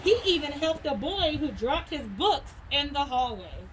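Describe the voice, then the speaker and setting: A woman's voice: high voice, big pitch swings, a little slow, slight background noise, rough recording. A woman reading a children's story aloud in the manner of a schoolteacher.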